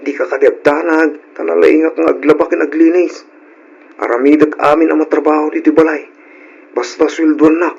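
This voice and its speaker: A rather high-voiced young husband talks eagerly and earnestly. His coaxing, agreeable delivery belongs to an old radio drama, and the sound is dull. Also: little pitch movement, normal speed, vocal fry, a little mellow, slightly rough.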